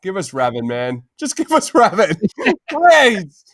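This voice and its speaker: A man's voice: high-pitched, wildly swooping pitch, slow, fairly bright tone, no room sound, studio quality. A man co-hosting a podcast in an enthusiastic, casual chatty style, often tossing in "man". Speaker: podcast co-host.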